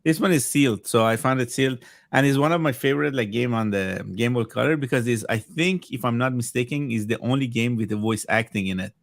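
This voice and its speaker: A man from Iran whose native language is Farsi, chatting casually as a podcast guest in halting, repetitive English. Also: medium pitch, creaky, no echo, studio quality. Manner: halting, repetitive phrasing as a second-language speaker. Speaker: podcast guest, video game collector. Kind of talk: casual chat.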